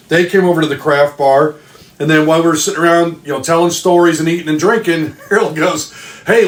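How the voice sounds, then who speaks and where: A man recording a casual voice message, telling a personal story step by step. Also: a little high. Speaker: fan contributing a voice message. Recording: roomy, echoing amateur voice message.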